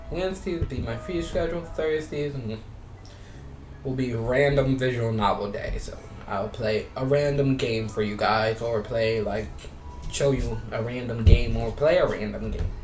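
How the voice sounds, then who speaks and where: A man doing casual gameplay commentary, speaking haltingly and often repeating himself or restarting his sentences. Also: mid-range voice. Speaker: video game streamer. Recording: roomy echo with music behind.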